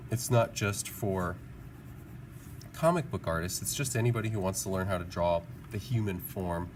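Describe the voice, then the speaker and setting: Low-voiced man teaching drawing, noisy recording. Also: moderate pace. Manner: encouraging, informal, musing. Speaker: art teacher. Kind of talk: casual drawing tutorial, thinking aloud. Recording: clearly audible background noise.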